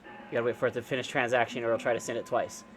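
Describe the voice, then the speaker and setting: A man with a mid-pitched voice walks through a software tutorial in an informal, unscripted way. He explains things casually, one step at a time. Ambient noise sits behind his voice in what sounds like a home recording. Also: level tone, racing. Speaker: amateur radio operator giving a software tutorial. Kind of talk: informal screen-share tutorial, unscripted walkthrough.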